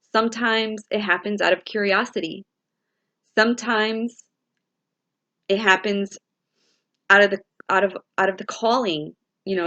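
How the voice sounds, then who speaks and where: A woman with a raspy voice this morning, talking to viewers in a casual, musing way as a spiritual vlogger. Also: mid-range voice, a bit bright. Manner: slow, musing, repeats and restarts phrases. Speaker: spiritual vlogger and tarot reader.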